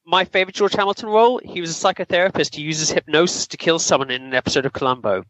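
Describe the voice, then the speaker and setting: A man's voice: a little high, rapid-fire, fairly bright tone, dry recording, rough recording. A man co-hosting a podcast, chatting casually and explaining film trivia in detail. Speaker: podcast co-host. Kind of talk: casual film review chat.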